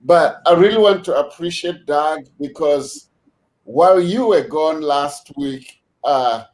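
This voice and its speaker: A man hosting a video call discussion, measured and unhurried, with many fillers as he thanks his guests. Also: fairly high pitch, poor audio. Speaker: host.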